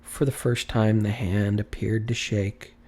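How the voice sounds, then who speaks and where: A man narrating a classic story in a solemn, measured, theatrical style. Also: on the low side, flat delivery, warm tone, breathy edge. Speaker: audiobook narrator.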